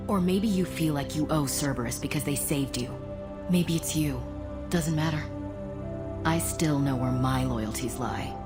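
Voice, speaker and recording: An actress playing a proud, hurt, loyal soldier in a video game, speaking earnestly and accusingly. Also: female voice, low-pitched, unhurried. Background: loud music.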